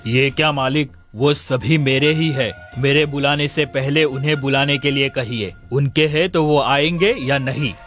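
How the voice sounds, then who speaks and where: A man plays a smooth-talking village sheep swindler from an animated moral tale. He is glib and puts on innocence, in a medium-pitched voice with music playing underneath. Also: unhurried, few pauses, lightly acted. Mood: feigned innocence. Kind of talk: Hindi animated moral story dialogue.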